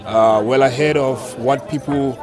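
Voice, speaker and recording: A man's voice: medium pitch, fairly even intonation, measured pace. A man answering casually and informally in a street interview. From South Africa. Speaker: interviewee, foreign resident. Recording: outdoor street with crowd chatter. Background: urban crowd.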